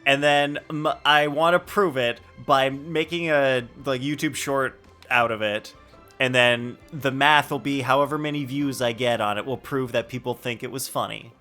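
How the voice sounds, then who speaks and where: A man's voice: mid-range voice, steady tone, normal speed, bright, forceful tone, soft background music. A man doing casual live commentary as he streams a video game, playful, joking and mock-argumentative.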